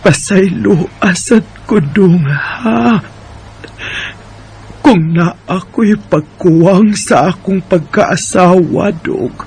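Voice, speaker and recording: A man voicing a frail, dying elderly wife in a Bisaya radio drama, with a Filipino Bisaya accent and a weak, pleading, drawn-out delivery. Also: high voice, darkish tone, a little hiss or hum. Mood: tender, pleading.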